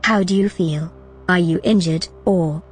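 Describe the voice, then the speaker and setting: An actress voicing a calm, precise station assistant woman in an audio drama, speaking in an even, measured way. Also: fairly low pitch, soft background music, lightly acted.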